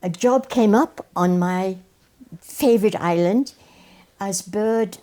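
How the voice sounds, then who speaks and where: Older woman, mellow voice. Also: mid-range voice, takes it slowly. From Wales. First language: Welsh. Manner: warm storytelling, repeats phrases for emphasis. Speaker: guest, educator. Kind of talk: reminiscing interview answers.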